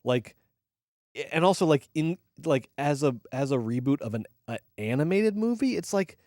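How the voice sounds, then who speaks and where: A young man co-hosting a movie podcast, talking with big swings in pitch and plenty of emphasis. He swears freely and leans hard into his opinions. The sound is close and dry. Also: expressive, normal speed, mellow, no echo. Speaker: podcast co-host, film critic. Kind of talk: casual movie review chat.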